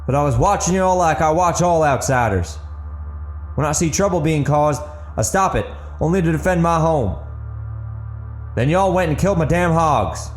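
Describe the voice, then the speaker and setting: A man's voice: a little high, reverberant, voice pushed up, natural voice. A man voicing a wary, threatening country gunman with folksy rustic speech in an audiobook drama. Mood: defiant. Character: wary, threatening rural gunman defending his home and hogs.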